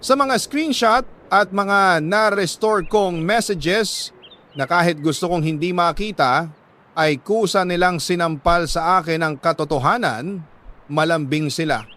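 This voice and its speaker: A man with a Filipino accent reads a confessional letter as a dramatic storyteller, in measured, emphatic short phrases. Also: high voice, bright, forceful tone. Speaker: storyteller reading a listener's letter.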